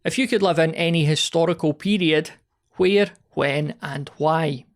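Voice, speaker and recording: A man's voice: on the high side, measured pace. A man reading out prepared questions as a podcast interviewer.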